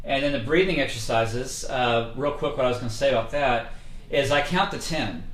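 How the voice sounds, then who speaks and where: Male vocal coach, mid pitch, casual livestream lesson full of fillers, roomy echo. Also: moderate pace. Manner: relaxed, conversational, many fillers. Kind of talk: casual instructional talk. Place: indoors, livestream setup.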